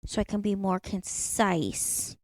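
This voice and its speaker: A woman's voice: mid-range voice, fairly even intonation, slow pace, a little mellow, dry recording. A woman in her late thirties co-hosting a podcast, playfully defending herself and talking earnestly in casual chat at home. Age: 30s. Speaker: podcast co-host. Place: apartment room, recorded together in person.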